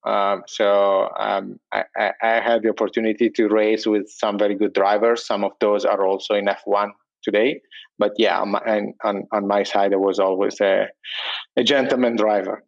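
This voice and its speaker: A man's voice: fairly low pitch, flat delivery, normal speed, creaky, highs cut off. A man with an Italian accent, an entrepreneur guest in a casual remote interview, talking hesitantly with many fillers and restarts. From Italy.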